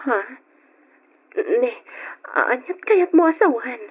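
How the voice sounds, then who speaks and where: Radio drama role: affectionate young Ilocano-accented woman, high-pitched, on a dull lo-fi recording. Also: lively intonation, slow pace, breathy edge, full character voice. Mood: anxious, pressing. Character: affectionate young woman back from working abroad, longing to marry. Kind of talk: old-time Ilocano radio drama acting.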